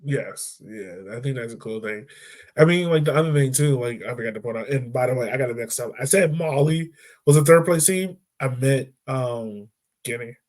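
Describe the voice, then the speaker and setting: A man's voice: medium pitch, moderate pace, darkish tone. A man hosting a podcast, chatting informally about football and thinking aloud as he goes. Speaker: podcast host discussing football. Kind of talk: casual conversational sports chat.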